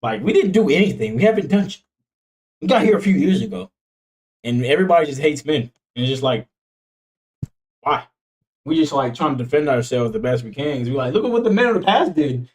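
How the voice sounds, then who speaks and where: A man's voice: fairly high pitch, animated, brisk, pauses often, a little mellow. A man in his twenties chatting casually on stream, joking around in a slangy way and laughing often. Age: 20s. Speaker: streamer reacting to videos.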